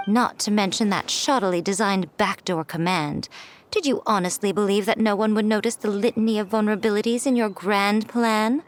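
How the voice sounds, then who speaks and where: A woman's voice: mid-range voice, steady tone, normal speed, slight background noise, natural voice. A woman playing an elegant, self-assured mystical lady in a game, haughty, composed and knowing. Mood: scornful. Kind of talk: English game dub voice acting.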